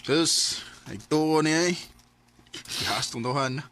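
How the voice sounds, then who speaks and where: A man's voice: a little high, slow, a bit bright, slight background noise. A man acting in a radio drama as an earnest young man fond of his best friend, with a Cebuano Bisaya Filipino accent. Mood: concerned, teasing. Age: young adult.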